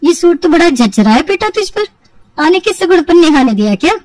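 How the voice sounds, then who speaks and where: Lady doing chatty middle aged auntie in a cartoon story, high pitched, familiar, little off mic. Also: big pitch swings, fairly quick, a little mellow, dry recording, far from the mic. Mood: nosy chatty.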